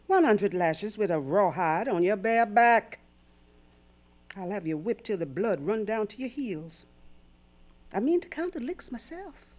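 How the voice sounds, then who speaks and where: A woman acting a cruel, vindictive slave-owning mistress in a radio drama, with a menacing, deliberate delivery. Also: medium pitch, lively intonation, a little mellow. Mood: vicious, menacing.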